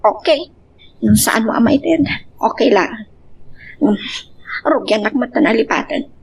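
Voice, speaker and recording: A woman's voice: a little high, expressive, measured pace, vocal fry, breathy edge, lo-fi sound, exaggerated voice. A woman with an Ilocano accent playing a flirtatious, demanding mistress in a romance audio drama. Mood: coy, demanding. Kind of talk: Ilocano radio-style drama acting.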